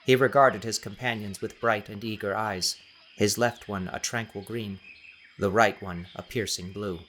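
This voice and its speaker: Low, forceful male audiobook narrator. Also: steady tone, normal speed, slight background noise. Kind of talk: scripted dramatic narration.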